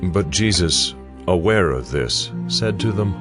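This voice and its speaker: A man narrating scripture from a script in a measured, solemn way. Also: sits low, expressive. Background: music.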